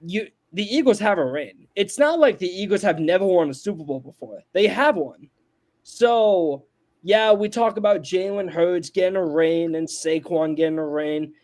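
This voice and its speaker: A man's voice: high voice, lively intonation, normal speed, a little mellow. A man in his twenties on a sports podcast, making his points emphatically and repeating them for stress. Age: 20s. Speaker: podcast panelist. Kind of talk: casual sports debate.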